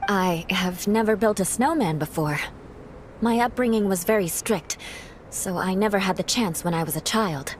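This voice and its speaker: A woman acts a proud young noblewoman knight from a video game. Her voice is medium in pitch, with formal, refined phrasing that hides a kind streak, over faint noise. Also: close to an everyday voice.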